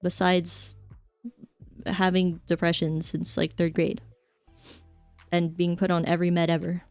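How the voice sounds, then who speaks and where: A woman's voice: on the low side, monotone, measured pace, a little mellow, smooth, dry recording, faint music behind. A young adult woman streamer chatting casually, rambling with lots of fillers and offhand jokes. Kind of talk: casual chat stream, reading chat.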